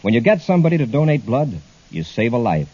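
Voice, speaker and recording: A man's voice: mid-range voice, very animated, fast, mellow, slightly rough. A man playing a bold, commanding space patrol commander in a 1950s radio adventure, speaking with authority and dry confidence. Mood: earnest appeal. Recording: dull lo-fi old radio recording.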